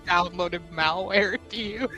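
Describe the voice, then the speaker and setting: A man's voice: high-pitched, unhurried, over music, low-quality recording. A man bantering playfully and casually as a player in a tabletop game. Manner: teasing, playful.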